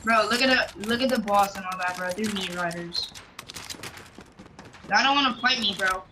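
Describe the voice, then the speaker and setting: A woman chatting excitedly with her teammate during a game, her voice strained from screaming. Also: mid-range voice, moderate pace. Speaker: gaming teammate. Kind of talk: casual gaming chat. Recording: distant mic under loud game noise.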